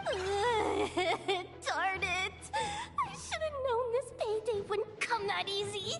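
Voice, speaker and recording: A woman voicing a small, excitable girl in a video game, nervous and whiny, stammering and exclaiming. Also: very high-pitched, a little slow, loud music behind the voice, exaggerated voice. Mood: whining.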